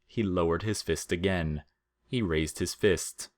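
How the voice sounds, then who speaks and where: Male narrator for humorous audio fiction, deep register, deadpan and measured delivery. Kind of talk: scripted comic fiction narration.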